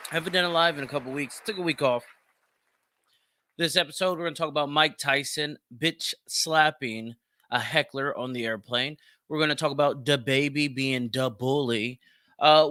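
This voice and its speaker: A man's voice: on the high side, pushed and bright, no echo, clean studio sound. A man hosting a show with casual, informal commentary and frequent swearing. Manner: informal, profane. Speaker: show host.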